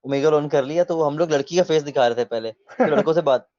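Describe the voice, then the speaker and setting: A man in his thirties in a casual video chat, relaxed and opinionated, slipping English phrases into his talk. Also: fairly high pitch, quick pace, dry recording. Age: 30s. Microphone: video call mic. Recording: dull lo-fi video call audio.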